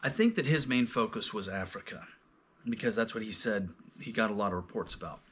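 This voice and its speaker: A man's voice: fairly low pitch, animated, fairly quick, fairly bright tone, slight background noise. A man hosting a casual, unscripted discussion, often searching for words and restarting his sentences as he goes. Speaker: host of a scripture reading discussion.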